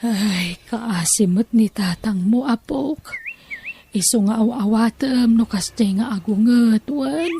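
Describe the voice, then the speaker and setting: A woman playing a caring, gently joking grandmother and wife in an Ilocano audio drama, tender and plaintive, with an Ilocano Filipino accent. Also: fairly high pitch, slow pace, a little hiss or hum. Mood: tender, concerned. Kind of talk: Ilocano radio-style audio drama acting.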